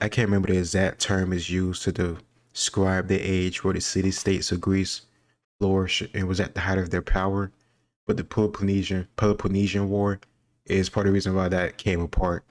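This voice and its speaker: A deep-voiced man talking casually and thinking out loud as he goes. His delivery stays very even and he hedges his points as he rambles. The recording is close and dry. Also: dry recording. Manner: rambling, musing, self-qualifying. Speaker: host reacting to quiz questions. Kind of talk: casual commentary on history trivia.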